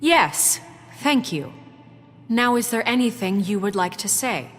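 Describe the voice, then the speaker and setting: A woman's voice: on the high side, expressive, a bit bright, long reverb tail, soft background music. A woman acting as a composed, earnest young official in a video game, speaking formally and firmly. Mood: formal, stern. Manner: formal, measured, firm.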